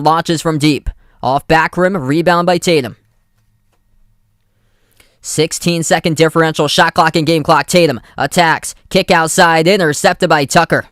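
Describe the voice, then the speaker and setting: A man's voice: fairly high pitch, a bit bright, no echo, clean studio sound. A young man with a Boston accent doing live play-by-play commentary for a streamed basketball game, calling the action in short clipped phrases.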